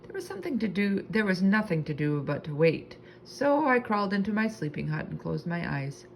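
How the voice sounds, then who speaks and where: A woman reading a children's book aloud in an animated, expressive storytelling manner. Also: medium pitch, a little fast, gravelly, slight background noise, rough recording.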